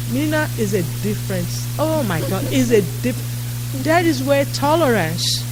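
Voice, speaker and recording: A woman with a high voice and shares a personal testimony with a gathering. She tells it as an animated, informal story, checking in with her listeners. The recording carries clear hiss and hum behind her. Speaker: speaker sharing pilgrimage testimony. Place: public address at a mosque.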